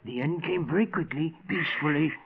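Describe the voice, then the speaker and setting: An actor playing a devoted, anxious male household servant with a creaky voice in 1940s radio drama style. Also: on the high side, fairly quick, crowd noise. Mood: shocked, consoling. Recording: noisy, tinny old radio recording with clicks.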